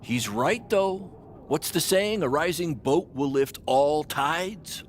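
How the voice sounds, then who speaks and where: An actor playing an upbeat, defensive boss of a small wrestling promotion in a video game, reassuring and folksy. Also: male voice, a little high, animated, slow, a little hiss or hum. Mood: coaxing. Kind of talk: video game cutscene acting.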